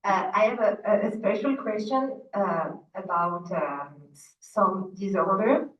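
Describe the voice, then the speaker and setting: Female, Belgian French accent, halting slow questioner, distant muffled conference audio. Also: mid-range voice, reverberant. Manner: halting, searching for words. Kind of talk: spontaneous question to a panel. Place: indoor conference room.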